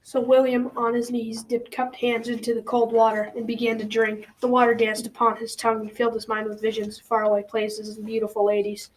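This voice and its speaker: A young female student playing a grandfather who tells a folktale in an amateur school radio drama, in a measured storytelling way. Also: a little high, completely flat delivery, natural voice, poor audio. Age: child or teen. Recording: faint background noise.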